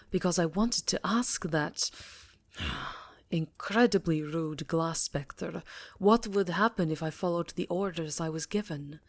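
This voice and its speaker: Actress as vampire countess: low register, unhurried, imperious and secretive, breathy ASMR intimacy. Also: female voice, no room sound. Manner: measured, dramatic, breathy delivery dipping into whispers. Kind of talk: intimate ASMR roleplay monologue.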